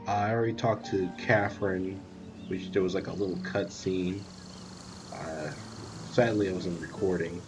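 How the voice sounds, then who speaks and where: A man's voice: deep voice, fairly even intonation, a little slow, rough recording. A man hosting a gaming video with casual gameplay commentary. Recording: loud game music behind.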